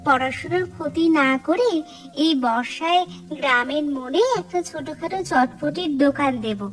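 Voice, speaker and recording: A woman's voice: high-pitched, moderate pace, lightly acted. A woman voicing an eager, earnest young college student in cartoon story dialogue. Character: eager young female college student planning a snack stall.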